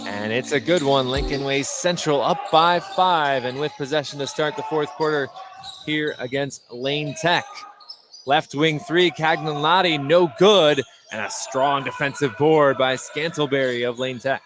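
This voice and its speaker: A man's voice: a little high, steady tone, measured pace, music in the background. A man doing live play-by-play radio commentary of a basketball game. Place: indoor high school gym during a game. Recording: noisy gymnasium with bouncing basketballs. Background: game noise, bouncing basketballs.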